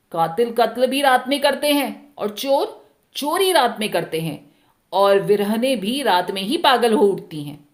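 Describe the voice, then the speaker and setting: A woman storyteller with a fairly high voice reads a short story. Her delivery is scripted and expressive.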